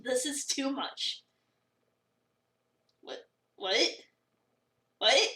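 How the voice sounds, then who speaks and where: Woman playing high, animated, exasperated young cafe worker. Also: extremely slow, exaggerated voice. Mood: sarcastic. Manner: sarcastic, dramatic, incredulous. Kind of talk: dramatic reading of visual novel narration. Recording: roomy, slightly distant mic.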